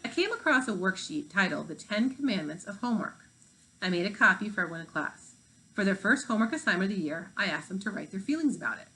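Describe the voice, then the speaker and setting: A woman reading as a fifth-grade teacher in a storybook, measured and instructive. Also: medium pitch, animated, pushed and bright, a little hiss or hum, poor audio.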